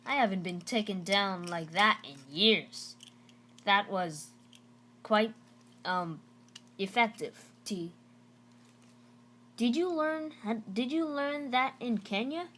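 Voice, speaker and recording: A woman's voice: medium pitch, expressive, slow pace, long pauses, a bit bright. A woman voicing a brash, stocky male middle school gym coach from a storybook, addressing students in emphatic, instructing phrases. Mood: surprised, curious.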